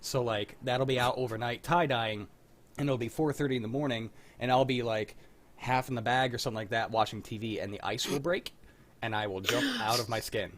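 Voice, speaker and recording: A man's voice: mid-range voice, fairly quick, a bit bright. Rambling man on a video call. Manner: rambling, candid, self-deprecating. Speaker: livestream host. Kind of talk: casual group video chat.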